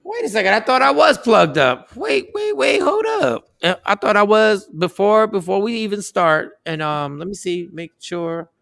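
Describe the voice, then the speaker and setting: A man's voice: high voice, very animated, normal speed, gravelly, hardly any pauses, a bit bright, no echo. A man hosting an internet radio show, chatting casually and rambling, now and then breaking into sound effects.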